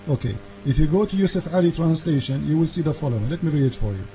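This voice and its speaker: A man whose first language is Arabic hosts a call-in debate, insistent and argumentative, speaking broken English and cutting in with quick repeats. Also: on the high side, animated, warm tone, soft background music, poor audio. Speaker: debate show host.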